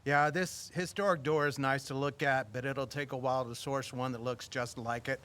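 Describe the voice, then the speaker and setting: Actor doing a big burly contractor guy, mid pitch, plain talking and practical, matter of fact, audio drama. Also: steady tone, moderate pace, a bit bright, lightly acted. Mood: matter-of-fact, friendly. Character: tall, heavy-set, matter-of-fact male building contractor. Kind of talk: naturalistic audio drama acting.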